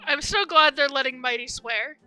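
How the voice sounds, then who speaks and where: A woman streaming a game, giving casual, rambling live commentary with animated fan reactions. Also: high-pitched, moderate pace, soft background music.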